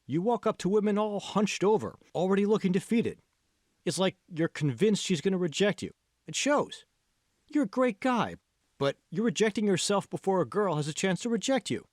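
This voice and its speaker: A man plays a confident young male college buddy in an audiobook. His pitch climbs and swoops as he coaches, emphatic and insistent. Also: lively intonation, normal speed, a little mellow, no echo, studio quality. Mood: insistent encouragement. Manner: emphatic, prodding, persuasive. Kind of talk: audiobook character dialogue.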